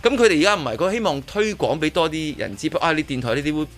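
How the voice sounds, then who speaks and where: A man's voice: on the high side, big pitch swings, fast talker. A man with a Hong Kong Cantonese accent hosting casual radio chat, opinionated and emphatic, often repeating words. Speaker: radio show host.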